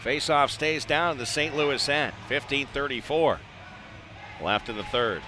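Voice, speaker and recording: A man calling live ice hockey play-by-play in clipped phrases, excitable when the action heats up. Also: mid-range voice, a little slow. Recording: live arena broadcast with background noise.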